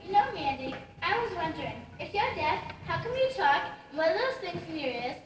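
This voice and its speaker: A woman's voice: extremely high pitch, big pitch swings. A woman voicing a young girl puppet who is eager and worried, firing off questions. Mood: curious. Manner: rapid questioning, pleading. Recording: echoey hall from far off, noisy.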